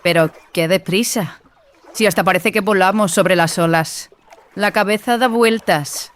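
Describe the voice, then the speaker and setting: A woman playing a haughty, impatient young sorceress adept in an audiobook, speaking in a mocking, deliberate, falsely sweet way. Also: mid-range voice, lightly acted. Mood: sarcastic. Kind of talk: acted audiobook dialogue.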